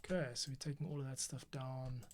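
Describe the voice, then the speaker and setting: A man with a mid-pitched voice talks casually through an editing tutorial. He thinks aloud in short, muttered bursts, as a podcast host working at his computer would. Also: little pitch movement, fast talker, a little mellow, slight background noise. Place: at a computer workstation.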